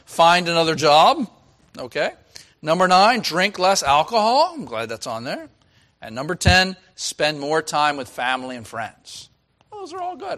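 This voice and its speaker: A pastor with a raspy voice preaching a sermon in a folksy, chatty way, asking the congregation questions. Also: male voice, a little high, a bit bright. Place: church.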